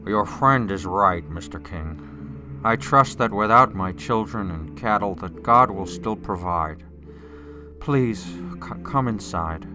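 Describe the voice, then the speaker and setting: An actor playing a devout, steadfast biblical patriarch in a radio drama, speaking formally and solemnly. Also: male voice, fairly low pitch, animated, takes it slowly, over music. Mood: solemn, grieving. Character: devout, steadfast biblical patriarch, grieving yet faithful father.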